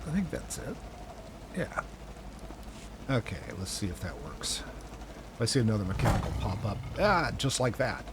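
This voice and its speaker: A man chatting casually and a little haltingly as he co-hosts a gaming livestream. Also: fairly low pitch, very animated. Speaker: game streamer, co-host. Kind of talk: casual chat while playing a game. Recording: livestream with clear background noise, game ambience.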